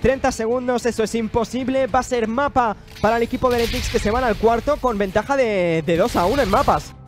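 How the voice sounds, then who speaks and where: Very high-pitched male esports caster. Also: fairly quick, faint music behind. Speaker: esports match commentator. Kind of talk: live commentary on a video game match.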